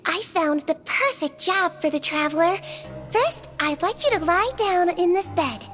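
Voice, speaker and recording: A woman voicing a small, gentle nurse creature in a video game, girlish and soothing, with a patient manner. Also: very high-pitched, lively intonation, unhurried, soft background music, exaggerated voice. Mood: playful. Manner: soothing, patient, matter-of-fact. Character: small gentle, chirpy young creature head nurse, quietly mischievous.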